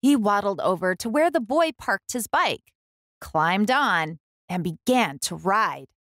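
A woman reads a children's story aloud in an expressive, storytelling style. She takes her time, with lively rises and falls in a medium-pitched voice, recorded close and dry. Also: slow, no room sound. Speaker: children's book reader and host.

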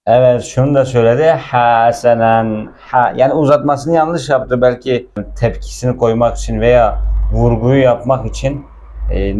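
Mellow-voiced man teaching informally. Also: mid-range voice, slight background noise. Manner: explanatory, repeats words and translations. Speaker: Arabic teacher. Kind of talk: casual language lesson explaining film dialogue.

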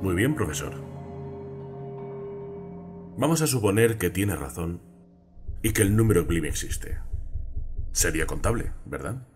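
A man acting a very low-voiced, probing psychiatrist. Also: sing-song, a little slow, long pauses, darkish tone, faint music behind. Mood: patient, testing. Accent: Castilian Spanish. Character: calm, probing middle-aged male psychiatrist. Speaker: dubbing actor. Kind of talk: dubbed film drama acting.

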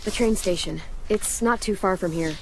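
A woman acting a resolute teenage girl leader in a survival game. Her voice is composed and mid-pitched, with faint noise behind it. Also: normal speed, close to an everyday voice, rough recording. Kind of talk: video game drama acting.

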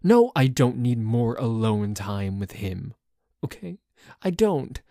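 A man's voice: medium pitch, gravelly, warm tone, dry recording, clean studio sound, full character voice. A man playing a dorky, jealous boyfriend in an ASMR roleplay, complaining in a stammering, exasperated way that sometimes drops toward a whisper. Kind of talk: ASMR roleplay audio drama.

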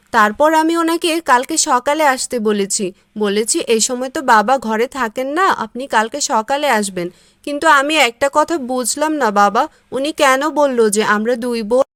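A gentle eldest farm daughter from a cartoon, acted by a woman, speaks in a high voice. She is dutiful and earnest, with a plaintive edge. The recording is dry and close. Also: no room sound. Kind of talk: Bengali cartoon dubbing.

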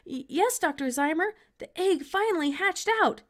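Casting: excitable young farm boy for a storybook, played by a woman, high voice, earnest, gushing delivery. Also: moderate pace. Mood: eager, polite. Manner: exclamatory, run-on, earnest delivery.

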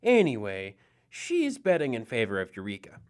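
An actress playing a mischievous goddess who co-narrates an audio drama with playful, sardonic asides. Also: female voice, very deep voice, wildly swooping pitch, moderate pace, darkish tone, full character voice. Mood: teasing. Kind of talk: scripted banter.

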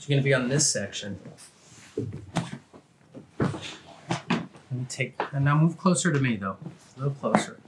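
A man's voice: medium pitch, animated, moderate pace, pauses often. Man giving instructions over noisy background. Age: middle-aged. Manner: short plain directives. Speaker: chiropractor. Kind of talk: casual hands-on instructions to a patient. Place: small indoor treatment office. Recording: clearly noisy room with clicks.

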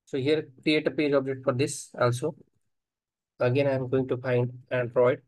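A man teaching software testing in a screen-recorded coding tutorial, talking haltingly and thinking aloud while he types, with frequent fillers. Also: medium pitch, little pitch movement, no room sound, rough recording.

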